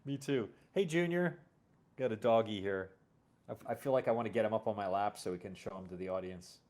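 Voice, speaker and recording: A man with a fairly low voice hosts a livestream. He talks casually and off the cuff, as in a relaxed chat with a guest. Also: brisk. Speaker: stream host.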